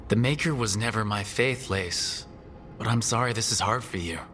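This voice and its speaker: A man's voice: on the low side, moderate pace, a bit bright, a little hiss or hum, natural voice. A man playing an earnest, principled rebel hero who leads his companions in a fantasy game.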